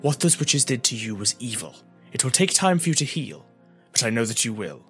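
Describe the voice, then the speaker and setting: A man voicing a calm, stoic female warrior, speaking evenly and quietly with grave compassion in a fantasy story, over music. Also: mid-range voice. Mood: gentle. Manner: even, quiet, measured.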